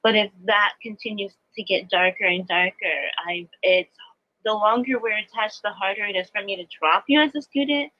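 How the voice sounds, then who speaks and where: Woman, mid-pitched, earnest spiritual teacher giving reflective live guidance; slightly muffled lo-fi sound. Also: normal speed. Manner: earnest, reflective, explanatory, new-age vocabulary. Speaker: spiritual teacher, astrology adviser. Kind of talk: live spiritual coaching talk, semi-monologue.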